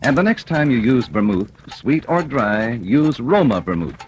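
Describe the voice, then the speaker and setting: A male radio announcer reading a sponsor advertisement in a smooth, polished 1940s style. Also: mid-range voice, expressive, normal speed, darkish tone. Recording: noisy old radio broadcast.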